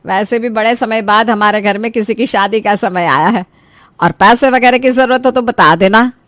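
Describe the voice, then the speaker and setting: A woman's voice: fairly high pitch, normal speed, fairly bright tone, no echo, natural voice. Woman playing a fussy, chatty middle-aged mother-in-law. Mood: indulgent. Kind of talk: Hindi animated story voice acting.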